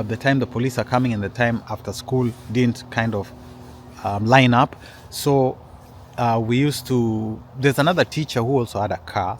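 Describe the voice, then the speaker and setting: A man's voice: mid-range voice, a little hiss or hum. Male storyteller, mid-range voice, casual and digressive, keeps addressing listeners. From Kenya. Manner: rambling, with frequent restarts and asides to listeners. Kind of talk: casual personal storytelling to an audience.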